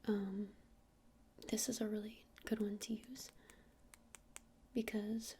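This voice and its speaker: A woman playing a friendly witch shopkeeper in an ASMR roleplay, speaking in a soft breathy whisper with hesitant, informal explanations. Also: mid-range voice, level tone, takes it slowly, a little hiss or hum. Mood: gentle, helpful.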